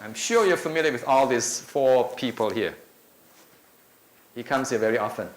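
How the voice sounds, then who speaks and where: Middle-aged Indonesian lecturer, native Indonesian speaker, average pitch, chatty with filler pauses; echoey room with faint hiss. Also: male voice. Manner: conversational, with many filler pauses. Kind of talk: conference talk. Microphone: handheld mic.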